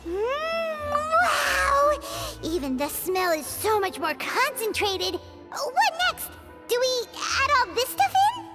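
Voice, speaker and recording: Game fairy sidekick: tiny, childlike, extremely high squeak, bouncy intonation, bubbly and curious. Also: female voice, animated, unhurried, hardly any pauses. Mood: amazed, eager. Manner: exclamatory, wide-eyed. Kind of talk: anime video game voice acting. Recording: loud game music underneath.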